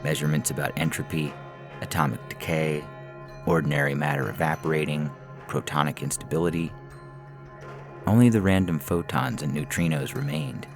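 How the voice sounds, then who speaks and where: A man's voice: rumbling low, steady tone, normal speed. A man reading a scripted science fiction story as a narrator, in the manner of an audio fiction podcast. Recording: music playing behind the voice. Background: instrumental music.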